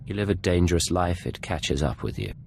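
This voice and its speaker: An actor playing a cool, controlled secret agent in a video game, speaking in a measured, suave way. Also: male voice, deep voice, animated, gravelly, darkish tone, slight background noise. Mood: composed. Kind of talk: scripted video game acting.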